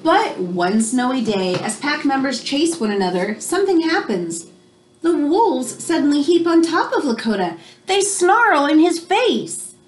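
Expressive, high-voiced woman reading aloud. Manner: expressive, teacherly, pausing to explain words. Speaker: children's picture book reader. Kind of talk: read-aloud storytelling with explanatory asides for children. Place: small room indoors at home. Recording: home recording with background noise.

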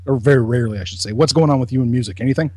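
A man's voice: medium pitch, very fast, dark tone, breathy edge, no room sound, clean studio sound. A man co-hosting a podcast in casual banter, with a blunt, sarcastic and mock-incredulous way of talking. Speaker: podcast co-host.

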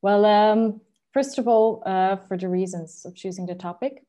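A woman's voice: medium pitch, normal speed, dark tone. A woman in her twenties with a Hungarian accent, giving considered, hesitant explanations as an interview guest on a video call. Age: 20s. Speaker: interviewed energy policy consultant. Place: small room indoors, video call. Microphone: earphone headset.

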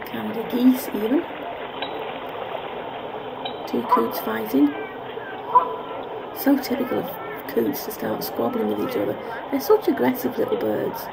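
A woman narrates casually as she walks outdoors, musing and correcting herself. Her voice is fairly high and lively in its rise and fall. It is captured on a handheld camera with clear background noise of the park. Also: unhurried, long pauses. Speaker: vlogger. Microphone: handheld camera's built-in mic. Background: clear park ambience noise.

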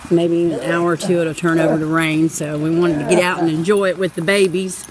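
A woman's voice: on the low side, flat delivery, a little fast, a crowd in the background. A woman, chatting and giggling affectionately as she films a home video outdoors in a snowy yard on a handheld phone camera. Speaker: vlogger filming her husband. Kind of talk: casual home video narration.

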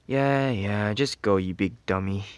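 A man's voice: fairly low pitch, a little slow, clear, resonant tone, dry recording, natural voice. A young man playing a grumpy, teasing tsundere teenage best friend in an ASMR roleplay, scolding in an exasperated, whiny way. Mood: impatient, grumbling.

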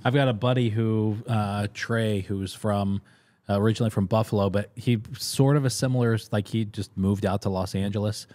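A man's voice: lowish voice, steady tone, normal speed, darkish tone. A man in his thirties hosting a podcast in casual conversation, speaking into a close studio microphone. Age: 30s. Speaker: podcast host. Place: small podcast studio indoors. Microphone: close studio mic on boom arm, headphones.

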